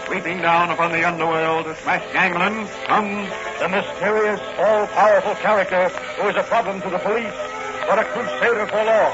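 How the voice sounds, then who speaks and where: Casting: male announcer for 1940s radio serial, dramatic narration, fairly high voice, dull old transcription sound with music behind. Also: level tone, normal speed, pauses often.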